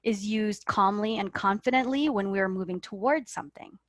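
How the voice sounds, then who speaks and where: A woman's voice: mid-range voice, brisk, no room sound, clean studio sound. A woman life coach as an interview guest, explaining her ideas earnestly and often checking in with "right?". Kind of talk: motivational interview talk.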